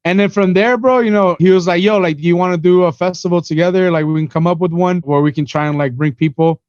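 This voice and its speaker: A man's voice: high-pitched, fairly even intonation, fast, darkish tone, clean tone, no echo, lo-fi sound. A man in his twenties from Miami, a podcast guest rambling through his story in casual chat, full of "you know" and "bro". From Miami, USA. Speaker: podcast guest, event organizer.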